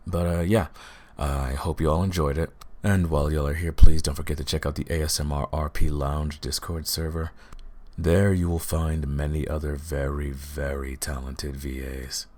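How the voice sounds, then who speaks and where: A man with a deep, gravelly voice talking casually to his listeners as the host of an ASMR channel. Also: little pitch movement, moderate pace, a little mellow.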